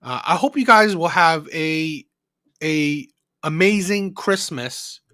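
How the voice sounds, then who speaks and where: A man's voice: on the high side, lively intonation, slow, sharp tone, dry recording, studio quality. A man from the Philippines hosting a livestream, chatting casually and unscripted, rambling and repeating himself with frequent checking tags. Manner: rambling, repetitive, often adding "right?" as a tag. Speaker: livestream host of a call-in show. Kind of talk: casual unscripted chat to live viewers.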